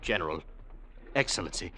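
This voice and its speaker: An actor playing a fat, fawning, cowardly traitor in an old radio drama, obsequious and theatrical in manner. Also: male voice, sits low, animated, brisk, sharp tone, husky, heavily acted, low-quality recording. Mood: pleading, frightened. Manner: obsequious, theatrical, stammering under pressure. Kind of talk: 1940s-50s radio drama acting.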